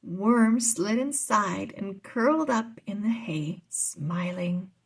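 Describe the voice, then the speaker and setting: Very husky woman reading a storybook. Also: medium pitch, lively intonation, slow. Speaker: storybook reader. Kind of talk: children's read-aloud narration.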